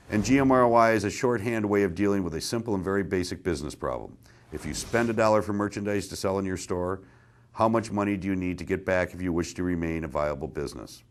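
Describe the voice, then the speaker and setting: A man reading a scripted presentation in a deliberate, explanatory manner. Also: deep voice.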